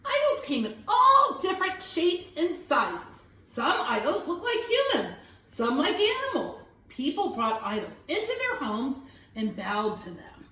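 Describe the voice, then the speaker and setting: A woman with a high, very animated voice reads a children's picture book like a teacher at storytime. She is expressive and often stops to ask questions or point at pictures. The room around her sounds echoey. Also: a little slow, distant mic. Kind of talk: animated read-aloud storytelling for young children.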